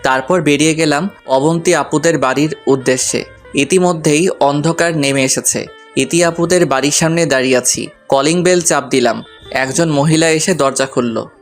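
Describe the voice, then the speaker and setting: Male narrator, slightly high voice, playful colloquial romantic storytelling over light music. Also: moderate pace. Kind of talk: first-person romantic story narration with acted dialogue.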